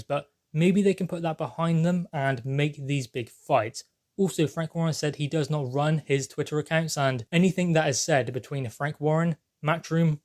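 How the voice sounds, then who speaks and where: Higher-voiced man presenting sports news. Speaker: boxing news channel presenter. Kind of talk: news commentary to camera.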